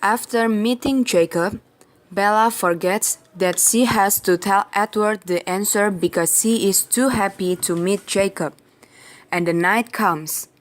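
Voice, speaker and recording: Female narrator, medium pitch, scripted storytelling, radio play. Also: a little slow.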